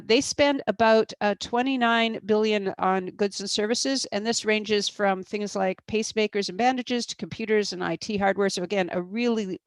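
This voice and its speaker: A woman speaking in a webinar presentation, explaining step by step with plenty of "uh" and "um" and self-corrections. Her pitch sits in the middle range. The sound is dry and close, with no room around it. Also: no room sound. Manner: explanatory, many fillers and restarts. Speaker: procurement expert presenter.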